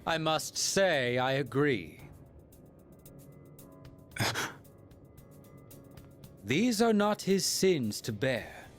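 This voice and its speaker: Slow, theatrical villain, mock-courteous. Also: male voice, medium pitch. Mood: solemn, smug. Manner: formal, archaic, mock-polite, taunting. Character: theatrical, coldly courteous villain preaching death as salvation. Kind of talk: English video game voice acting. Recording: music under the voice.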